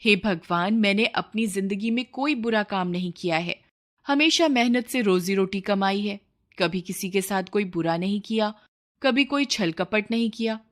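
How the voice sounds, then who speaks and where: A woman's voice: mid-range voice, normal speed, close to an everyday voice. A woman voicing a humble, selfless village man in a moral story, praying in earnest, solemn pleas. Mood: sad, resigned.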